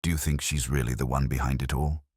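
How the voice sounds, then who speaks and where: A man's voice: extremely low pitch, fairly even intonation, quick pace, a little mellow, breathy edge, dry recording, studio quality. A man voicing a dutiful police sergeant in a mystery story, clipped, matter-of-fact and deferential. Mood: curious. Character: dutiful male police sergeant reporting to his superior. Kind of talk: audio mystery story dialogue.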